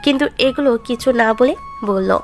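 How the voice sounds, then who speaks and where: A woman's voice: on the high side, normal speed, soft background music. A woman narrating a scripted romantic story as its storyteller.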